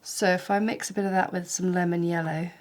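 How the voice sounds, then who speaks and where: A woman, a little snuffly with hay fever, talking through a watercolour painting tutorial in a gentle, chatty, encouraging way. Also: fairly low pitch, fairly even intonation, brisk, heavy background noise. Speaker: watercolour art teacher.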